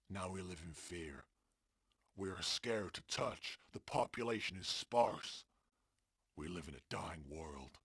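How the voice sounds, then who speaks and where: A man playing a sombre former mercenary, delivering a measured, solemn confession in an audio drama. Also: fairly low pitch, sharp tone, husky, no room sound, clean studio sound, heavily acted. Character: sombre male ex-mercenary confessing on a recorded journal. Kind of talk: scripted audio drama monologue.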